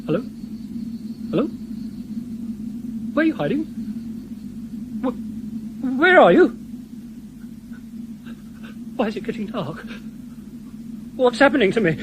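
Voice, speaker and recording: High-pitched, excitable British camper. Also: male voice, takes it slowly, pauses often, noisy background, exaggerated voice. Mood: panicked. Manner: emphatic, argumentative. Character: indignant, cocky male camper bargaining for his soul. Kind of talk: 1970s radio play acting.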